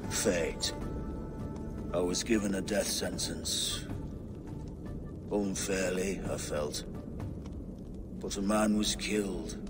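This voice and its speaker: An actor playing a grave, stern old blind hermit in a video game, speaking in a solemn, cryptic, deliberate way. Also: male voice, deep voice, fairly even intonation. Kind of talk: dramatic video game acting. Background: music.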